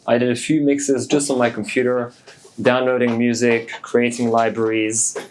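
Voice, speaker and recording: A man in his late twenties, French-born and raised in Hong Kong, talking in a relaxed, thoughtful way as a DJ guest, with many fillers. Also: mid-range voice, normal speed, a little hiss or hum, rough recording. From France, raised in Hong Kong. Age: 20s. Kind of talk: casual interview.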